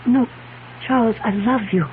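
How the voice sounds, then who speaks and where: A woman's voice: a little high, moderate pace, natural voice. A woman acting in a radio drama as a coldly determined, scheming fiancée who talks with composed, persuasive insistence. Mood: insistent, calculating. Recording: tinny, noisy old radio recording.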